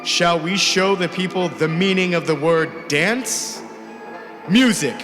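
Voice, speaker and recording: A man voicing a huge young rebel emperor in a storybook, making grandiose, commanding, drawn-out proclamations. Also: in a large hall. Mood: exultant command. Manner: booming, imperious, drawn-out proclamations. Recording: music under the voice.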